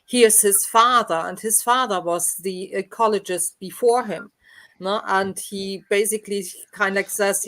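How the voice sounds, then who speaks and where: A woman's voice: mid-range voice, a bit bright. A woman talking casually in a book discussion, often ending statements with a questioning tag.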